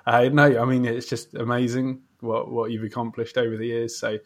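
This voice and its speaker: A man's voice: mid-range voice, level tone, moderate pace. A man hosting a podcast, chatting casually with a guest in an encouraging, effusive way. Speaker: podcast host interviewing a guest. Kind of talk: casual interview chat.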